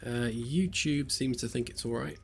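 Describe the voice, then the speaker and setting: A slightly hoarse man at a desk mic, talking his way through game development work on a live stream. He rambles with plenty of ums and small self-deprecating jokes, voice at an ordinary middle pitch, with a faint hum of noise behind him. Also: moderate pace. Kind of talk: relaxed live-stream chat while working. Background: faint lo-fi background music.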